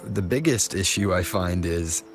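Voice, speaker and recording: Casting: a probing, doubtful male game character, fairly deep register, measured questioning delivery, set over prominent music. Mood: dry. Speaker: video game voice actor. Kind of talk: scripted game dialogue. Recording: loud music behind the voice.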